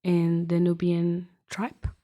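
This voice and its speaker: A woman answers interview questions as a youth activist. Her voice is mellow and a little low, with very level intonation. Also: monotone, slow pace. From Netherlands. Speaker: youth activist, podcast co-host.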